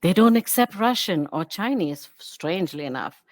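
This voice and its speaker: A middle-aged woman with English learned in its British form talks in a lower voice, recorded close and dry. She tells her stories with energy, breaking into quoted asides. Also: normal speed, dry recording. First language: English learned in British form, also speaks Hindi. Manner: animated storytelling with laughs and quoted asides. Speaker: guest, bilingual book creator. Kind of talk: casual interview chat.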